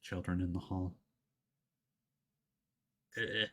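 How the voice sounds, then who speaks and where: A man's voice: deep voice, little pitch movement, slow. A man doing casual live game commentary, thinking aloud into a streaming mic with game music behind him. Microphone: streaming microphone with headphones.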